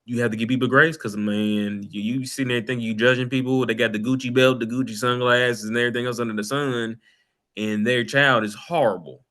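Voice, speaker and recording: A man's voice: mid-range voice, steady tone, brisk, sharp tone. A man talking casually on a podcast in slangy speech, repeating words for emphasis.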